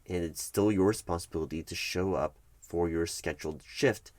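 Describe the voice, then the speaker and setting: A man performing a one-sided ASMR roleplay as a firm, bureaucratic HR manager, explaining things in a measured, officious way with halting emphasis. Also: low voice, darkish tone, dry recording.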